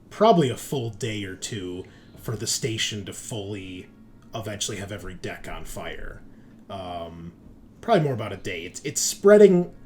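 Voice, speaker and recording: A man working as game master, recapping and narrating a tabletop game session in a halting, conversational way, thinking aloud. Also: mid-range voice, darkish tone, a little hiss or hum.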